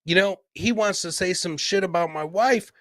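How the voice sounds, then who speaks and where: Male podcast host, American accent, slightly high voice, blunt casual talk with plenty of swearing, very little room sound. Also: normal speed, fairly bright tone, dry recording, studio quality. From Michigan, United States. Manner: brash, blunt, swears freely. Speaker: host of a live comedy podcast. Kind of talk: casual live-stream chat.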